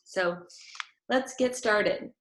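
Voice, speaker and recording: A woman's voice: medium pitch, extremely slow, a bit bright. A woman speaking simply and instructively to young listeners, like a teacher introducing a children's read-aloud. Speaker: teacher reading aloud to pupils. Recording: roomy echo.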